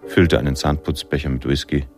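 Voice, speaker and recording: A man's voice: rumbling low, fairly even intonation, brisk, over loud music. An actor playing a reflective, world-weary male narrator in a noir radio drama, telling the story in literary prose. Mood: reflective, melancholy.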